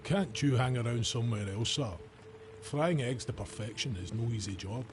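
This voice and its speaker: A man's voice: mid-range voice, normal speed, noisy background, natural voice. A man playing an old, folksy and evasive estate gamekeeper in a video game, speaking in a wry, rustic storytelling manner. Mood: irritated. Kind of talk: scripted video game character dialogue.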